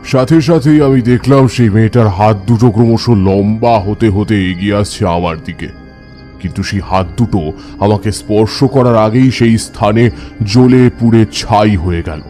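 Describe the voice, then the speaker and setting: Horror audio story lead: actor as devout tantric man, warm dark timbre, intense first-person narration, music bed. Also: lowish voice, normal speed, close to an everyday voice. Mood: awed, suspenseful. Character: devout male tantric ascetic recounting his eerie encounters. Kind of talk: dramatic scripted horror narration.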